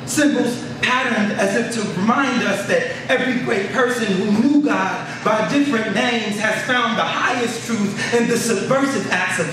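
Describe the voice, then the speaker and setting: Male spoken word poet, high pitch, impassioned declamation, live reading, heavily echoing room, distant mic. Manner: impassioned, declamatory, rhetorical questioning. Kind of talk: spoken word poetry performance. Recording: very echoey room, distant mic, clear noise.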